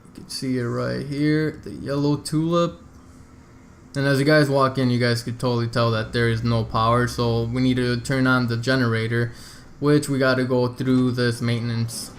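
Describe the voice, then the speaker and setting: Casual man guiding through a game, noisy background. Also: medium pitch. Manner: informal, addresses viewers as "you guys". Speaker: video game walkthrough host. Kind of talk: casual step-by-step game walkthrough commentary.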